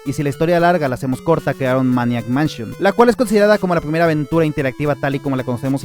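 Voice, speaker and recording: A man narrates a video essay at a brisk clip. His voice sits a bit high for a man, and he keeps it chatty and explanatory. Soft music plays faintly underneath. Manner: conversational, explanatory. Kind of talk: scripted narration with casual asides.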